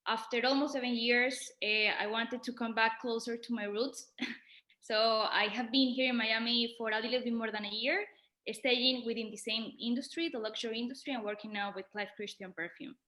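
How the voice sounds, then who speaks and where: Bright echoey woman. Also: a little high, fairly even intonation, moderate pace. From Venezuela. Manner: earnest and expansive, with small grammar slips. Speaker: guest luxury marketing expert. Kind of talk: podcast interview.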